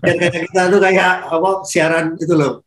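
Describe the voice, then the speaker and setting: A man's voice: high-pitched, fairly even intonation, moderate pace, roomy sound, crowd noise. A man with a nasal, stuffed-up voice co-hosting a casual motor racing chat, playful and full of puns, speaking from a hotel room. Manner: playful, punning, chuckles often. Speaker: podcast co-host.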